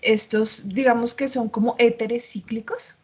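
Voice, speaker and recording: A woman's voice: on the high side, fairly even intonation, measured pace, breathy edge. A woman chemistry tutor, explaining patiently in an online class despite a slight cough and sore throat. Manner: patient, explanatory, frequent filler sounds. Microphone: computer mic on a video call.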